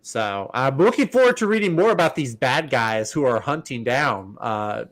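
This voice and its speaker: A man co-hosting a casual comics talk show, sounding a little stuffed up from a cold, chatty and quick to laugh. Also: medium pitch, lively intonation, fairly bright tone. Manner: chatty, laughs often, many fillers. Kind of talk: casual chat.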